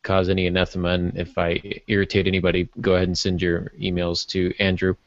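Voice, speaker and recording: A man's voice: sits low, completely flat delivery, fast talker, highs cut off. A man co-hosting a podcast in casual chat, bantering and dropping in asides as he makes his point. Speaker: podcast co-host.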